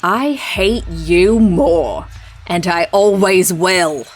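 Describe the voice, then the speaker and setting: A woman playing a bitter, menacing swordswoman villain in an audio drama, cold and deliberate. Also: lowish voice, animated, soft background music, rough recording. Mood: bitter hatred.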